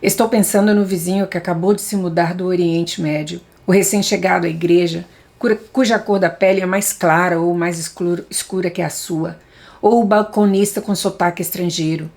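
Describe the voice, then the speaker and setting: Brazilian woman reading aloud, lowish voice. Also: steady tone, moderate pace, a little mellow. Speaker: audiobook reader.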